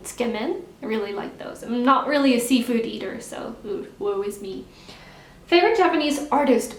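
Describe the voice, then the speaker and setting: A young woman vlogger chatting casually while answering viewer questions, relaxed and repeating words for emphasis. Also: fairly high pitch. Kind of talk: casual Q&A chat. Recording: echoey room.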